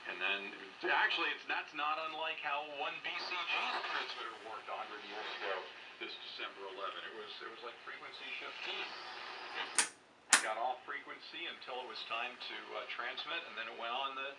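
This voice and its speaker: A man's voice: mid-range voice, fairly quick. Man over distant, noisy ham radio signal. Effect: heard over radio transmission. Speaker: amateur radio operator. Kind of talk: casual technical ham radio chat.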